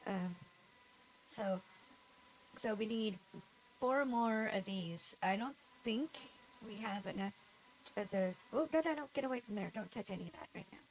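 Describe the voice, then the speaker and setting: A woman's voice: mid-range voice, normal speed, long pauses, a little hiss or hum, poor audio. Nasal woman chatting through a game stream. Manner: rambling, giggly, self-deprecating. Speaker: livestreamer. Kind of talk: casual gameplay chat.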